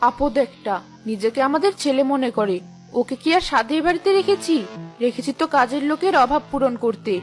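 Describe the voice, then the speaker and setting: A woman's voice: high-pitched, animated, brisk, soft background music. A woman voicing a spiteful, scolding village aunt in a cartoon, with a nagging, scornful manner. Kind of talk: Bengali cartoon voice acting.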